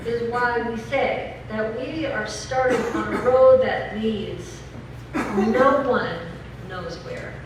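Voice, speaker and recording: A woman giving a dramatic reading as a resolute labor editorialist, solemn, deliberate and declamatory. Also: a little high, recorded from a distance. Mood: emphatic, stirring. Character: resolute labor editorialist proclaiming a general strike. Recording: echoey room from afar, clear noise.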